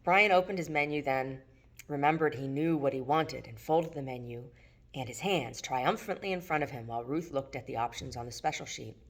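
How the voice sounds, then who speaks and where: Low-voiced woman reading a novel. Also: moderate pace. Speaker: author reading own novel aloud. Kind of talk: audiobook narration of fiction.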